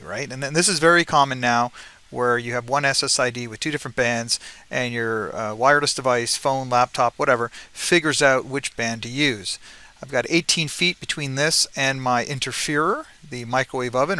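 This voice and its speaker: A man presents a technical tutorial in a bright, crisp-edged voice at a middling pitch. He talks informally, like a technician explaining his routine, and often tags his points with a quick check that the listener follows. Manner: conversational, frequently checks with "right?".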